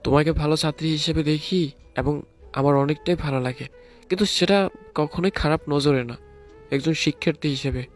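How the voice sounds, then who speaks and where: A man's voice: on the high side, steady tone, normal speed, lightly acted. A man playing a reserved, proper teacher in a scripted audio drama. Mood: earnest, defensive. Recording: music behind the voice.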